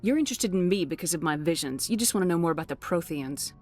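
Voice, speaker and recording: Resolute female space commander, lowish voice, firm and measured, video game dialogue, music behind. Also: animated. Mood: questioning. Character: steady, resolute human female starship commander.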